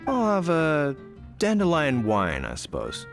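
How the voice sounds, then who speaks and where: An actor playing a polite, well-meaning but flustered city knight in a video game, hesitant and stammering. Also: male voice, on the high side, wildly swooping pitch, unhurried, creaky, faint music behind, exaggerated voice. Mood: nervous politeness.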